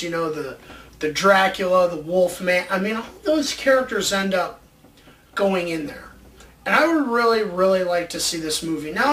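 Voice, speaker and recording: A man's voice: high-pitched, pushed and bright. A man hosting a casual chat show about movies, rambling along with enthusiasm. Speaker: show host. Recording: distant mic, faint background noise.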